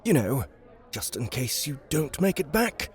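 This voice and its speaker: A man's voice: fairly high pitch, sing-song, a little slow, pitched above the performer's own voice. Shrewd, joking tavern owner, played by a male narrator; dry, teasing.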